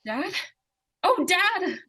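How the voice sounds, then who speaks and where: A woman playing an earnest, excitable young daughter in an old-time radio suspense drama. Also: very high voice, extremely slow, exaggerated voice. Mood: frantic, pleading.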